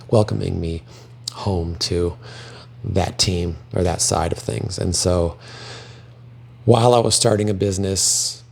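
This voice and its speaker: Low gravelly man telling his story. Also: darkish tone. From Belgium. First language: French. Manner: reflective, earnest storytelling. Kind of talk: personal testimony.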